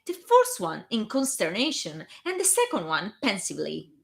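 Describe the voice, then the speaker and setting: A woman's voice: mid-range voice, wildly swooping pitch, normal speed, creaky. A woman with a strong Italian accent who reads a story aloud on a live stream, with chatty, self-conscious asides. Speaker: fan fiction reader and live streamer.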